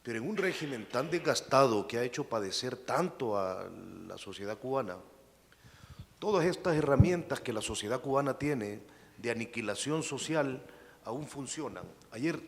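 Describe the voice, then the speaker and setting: A man from El Salvador, earnestly asking the panel a question. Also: mid-range voice. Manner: earnest, uses vos. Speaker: audience questioner. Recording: reverberant hall with faint noise.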